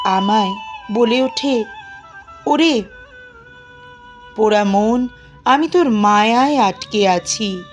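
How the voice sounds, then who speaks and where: A woman giving an expressive, dramatic poetry recitation in a plaintive, emotive manner with drawn-out pauses. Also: fairly high pitch. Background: music.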